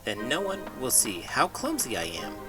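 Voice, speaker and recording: A little baby bat girl from a children's storybook, acted out by a man. The delivery is earnest, plaintive and anxious, with an animated swing in pitch. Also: moderate pace, over music. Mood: embarrassed, resigned.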